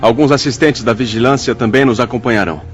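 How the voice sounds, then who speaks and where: An actor playing a calm, decisive spirit leader in a radio drama, speaking in a measured, formal way. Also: male voice, mid-range voice, faint music behind, close to an everyday voice. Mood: calm, purposeful.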